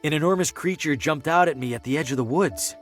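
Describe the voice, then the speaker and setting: A man's voice: on the high side, quick pace, soft background music, close to an everyday voice. A man playing a curious, hesitant traveling salesman in a video game, with a wry way of talking. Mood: agitated. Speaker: protagonist character. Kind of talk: video game voice acting, dialogue and musing asides.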